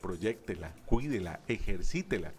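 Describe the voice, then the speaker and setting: A man's voice: low voice, lively intonation, normal speed, a little mellow. A man, a public speaking instructor talking as a guest in a radio booth, emphatic and stacking repeated parallel phrases. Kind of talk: radio interview guest explaining.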